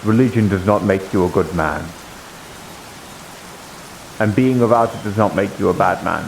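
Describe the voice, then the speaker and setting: A low-voiced, devout holy warrior, played by a man in a live fantasy roleplay game. He speaks plainly and earnestly, with solemn, halting phrases and a loyal temper. A faint but steady hiss sits behind the voice. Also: gravelly, low-quality recording. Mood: earnest, reflective. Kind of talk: improvised in-character fantasy roleplay. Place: live online VR roleplay stream.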